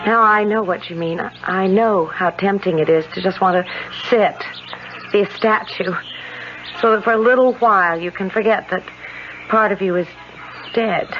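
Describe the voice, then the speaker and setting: Woman acting bitter young woman, medium pitch, emotional, hesitant, vintage radio play, muffled noisy recording. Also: moderate pace, lightly acted. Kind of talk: 1970s radio drama acting.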